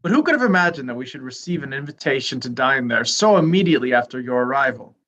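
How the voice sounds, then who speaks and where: A man playing a condescending, self-important gentleman cousin in a staged reading of a period comedy, formal and wordy, at a medium pitch. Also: expressive, fast, dull audio. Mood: self-satisfied.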